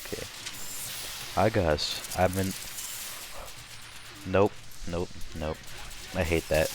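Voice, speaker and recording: A young man with a slightly raspy voice, chatting casually and rambling while commenting on his gameplay. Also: deep voice, fairly even intonation, slow pace, music in the background. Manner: rambling, chatty, many restarts and fillers. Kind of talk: casual let's-play chat.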